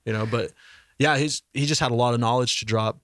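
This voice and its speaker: A man's voice: medium pitch, brisk, fairly bright tone, no room sound, studio quality. A man co-hosting a casual podcast chat, rambling and loose, full of "you know what I mean.". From United States. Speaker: podcast co-host.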